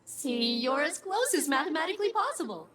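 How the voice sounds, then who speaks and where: A woman voicing a chirpy, fiercely loyal computer intelligence in a video game, with a theatrical, slightly husky voice. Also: high-pitched, sing-song, a little fast. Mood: upbeat, protective. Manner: bright, theatrical, swooping. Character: chirpy, loyal female ship AI, fiercely protective.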